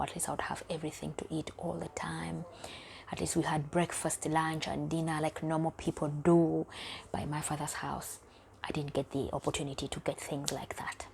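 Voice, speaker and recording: A young woman from Uganda speaks in a low, dark-toned voice, recounting her own story for her channel. She talks candidly and often sinks to a near whisper, with a faint hum of room ambience behind her. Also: gravelly. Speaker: vlogger. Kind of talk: personal storytelling vlog.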